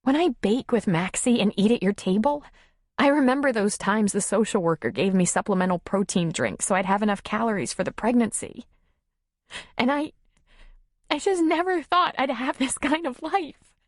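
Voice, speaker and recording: A woman voicing a tearful, timid young single mother who confides haltingly in an audiobook story. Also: fairly high pitch, expressive, dry recording, studio quality, voice pushed up. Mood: confiding, vulnerable. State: tearful, sniffling.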